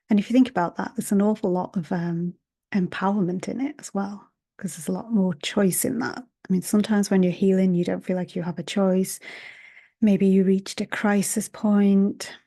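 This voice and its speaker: A woman, a hypnotherapist and healer, giving a gentle spiritual talk to camera through an earbud mic, slightly raspy from a sore throat and often half-whispering. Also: mid-range voice.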